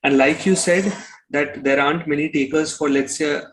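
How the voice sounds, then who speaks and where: A man's voice: on the high side, steady tone, moderate pace, low-quality recording. A man giving conversational interview answers as a musician, explaining and rephrasing as he goes.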